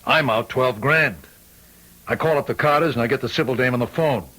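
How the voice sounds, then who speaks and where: An actor playing a gruff, shady restaurant owner in an old-time radio mystery, slangy and defensive. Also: male voice, medium pitch, fairly quick, pushed and bright, poor audio. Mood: grudging.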